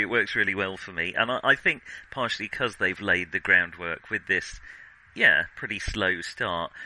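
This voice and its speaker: Man, very bright edgy tone, dry close recording, casual film discussion, meandering delivery. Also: deep voice, no room sound, rough recording. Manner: hesitant, meandering, self-correcting. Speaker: film podcast co-host.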